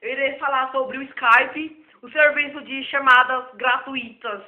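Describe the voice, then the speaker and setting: A hoarse man, giving a rambling, improvised tech lesson as a self-taught computer tutor. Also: very high-pitched, fairly even intonation, a little slow. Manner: rambling and repetitive. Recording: echoey, phone-like lo-fi recording.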